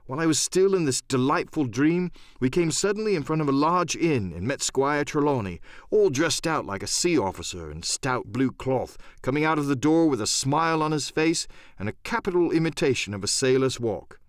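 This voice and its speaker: A man narrating a first-person adventure story as a dramatic audiobook, expressive and theatrical. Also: on the high side, normal speed, hardly any pauses, no room sound, clean studio sound.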